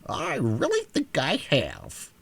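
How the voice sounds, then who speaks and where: A man voicing a feisty, proud little yellow hen in a storybook reading, with a gravelly, husky tone and scrappy defiance. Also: fairly high pitch, huge pitch swings, takes it slowly, voice pushed up, full character voice. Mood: defiant.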